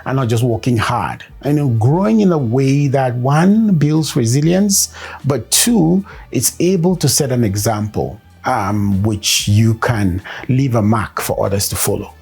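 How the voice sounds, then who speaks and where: A man with a husky, slightly rough voice and a middle-pitched tone, answering interview questions in a measured way. He pauses often with fillers and builds his points step by step. Faint music plays softly behind him. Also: animated. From Cameroon. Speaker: performance consultant and coach.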